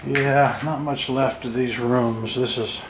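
A man's voice: medium pitch, steady tone, takes it slowly. A man giving casual, off-the-cuff walking narration in a musing, observational way, as a vlogger behind a handheld camera. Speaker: vlogger filming an exploration of an abandoned motel. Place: outdoors, walking around a derelict motel lot. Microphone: handheld camera microphone, operator behind camera. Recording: noisy outdoor handheld camera, ambient roar.

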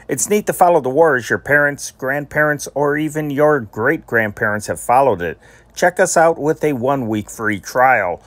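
A man's voice: medium pitch, dry recording. A man hosting an online channel, giving a casual scripted promotional narration. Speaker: channel host.

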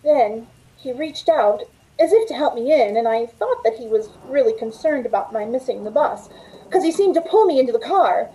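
A woman's voice: a little high, expressive, moderate pace, mellow, lightly acted, rough recording. A middle-aged woman playing an anxious, hesitant waitress in a 1940s-style radio drama, earnest and pleading. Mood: uneasy recounting. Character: anxious young waitress telling her frightening story. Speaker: actor in a radio play. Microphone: video call from a home room. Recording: faint noise on home video call.

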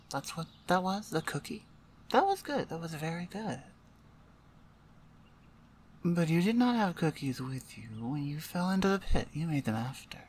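A woman voicing a blunt, domineering orc shaman, an older sister, in a deliberate, condescending ASMR roleplay. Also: deep voice, a little mellow, slight background noise. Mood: curious, probing. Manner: deliberate, pointed, condescending, interrogating. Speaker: audio roleplay voice actor. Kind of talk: ASMR roleplay, one-sided dramatic dialogue.

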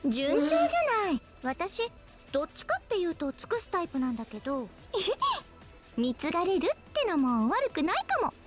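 High-pitched, teasing anime girl archer. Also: huge pitch swings, normal speed, soft background music, exaggerated voice. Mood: coy, teasing. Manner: coy, teasing, theatrical. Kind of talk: Japanese anime game voice acting.

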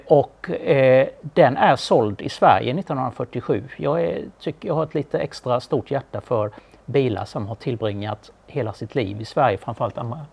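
A middle-aged Swedish man presenting a car in a relaxed, knowledgeable studio chat, with frequent fillers. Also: medium pitch, gravelly, husky. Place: small studio with a brick wall.

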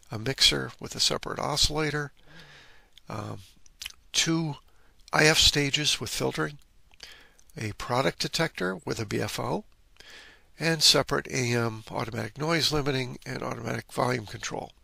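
Man, instructive electronics presenter, heavy fry and husky edge, close dry voice-over. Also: medium pitch, pushed and bright, no echo. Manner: instructive, explaining step by step. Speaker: presenter of an electronics repair video. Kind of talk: informal technical walkthrough narration.

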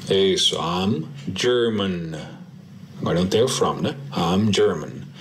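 A man with a Brazilian Portuguese accent teaching English, modelling short answers slowly and repeating them for practice. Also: deep voice, lively intonation, slight background noise. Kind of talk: slow drill practice lesson.

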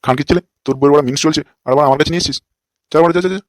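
A man's voice: a little high, steady tone, rapid-fire, no echo, lightly acted. A man voicing a crude, lecherous fake holy man in a comic cartoon, with a brash, scolding manner.

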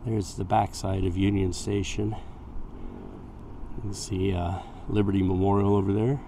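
Relaxed male vlogger, lower voice, walking-tour chatter, street noise audible. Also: normal speed, creaky. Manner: relaxed, chatty, points out sights as he walks. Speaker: vlogger on a city walk. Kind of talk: casual walking tour commentary. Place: outdoors on city streets. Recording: outdoor street noise on camera mic. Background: traffic and city noise.

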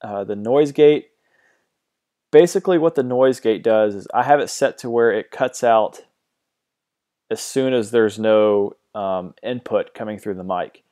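Low-voiced man explaining software, hesitant. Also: unhurried. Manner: hesitant, many ums and restarts. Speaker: tutorial host. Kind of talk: casual screen-recorded software tutorial. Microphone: mono home recording mic.

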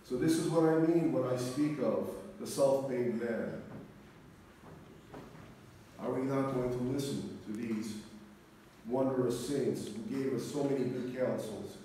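A middle-aged man, an Orthodox priest, preaching a sermon in a solemn, deliberate manner with measured pauses. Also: mid-range voice, mellow. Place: large church interior, speaking from the front. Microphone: distant camera microphone. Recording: echoey church, distant mic, faint noise.

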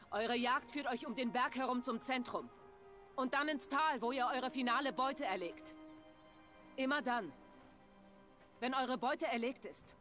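Game chieftain: commanding, ceremonial female hunt leader, bright tone. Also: a little high.

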